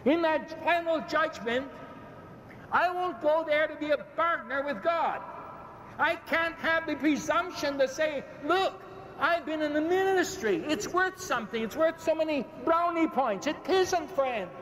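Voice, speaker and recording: A man's voice: very high-pitched, unhurried, a bit bright, a little hiss or hum. An older man from Ireland preaching emphatically to a large audience, building repeated phrases and gesturing as he moves around a podium. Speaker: bishop debating in a public debate. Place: large indoor arena stage. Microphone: podium microphone, speaker often stepping away. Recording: echoey arena with long reverb.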